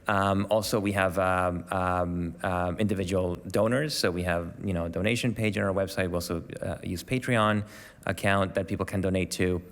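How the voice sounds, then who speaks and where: Low-voiced man in a reverberant hall. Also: steady tone, normal speed, fairly bright tone. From Venezuela. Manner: hesitant, many fillers and restarts. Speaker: panel guest, media organisation staff. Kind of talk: panel discussion answers.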